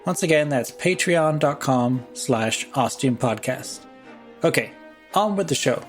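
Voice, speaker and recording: A man's voice: medium pitch, expressive, measured pace. A man hosting a podcast, reading a scripted announcement to listeners. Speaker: podcast host. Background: faint music.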